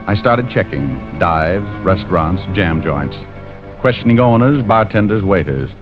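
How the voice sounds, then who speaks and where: A man's voice: deep voice, expressive, unhurried. A man playing a tough, cynical American private detective in an old radio drama, with wry, clipped hardboiled delivery. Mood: grim, wry. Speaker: lead actor and narrator. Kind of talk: 1940s-50s radio drama acting, hardboiled narration. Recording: muffled old-time radio recording with music.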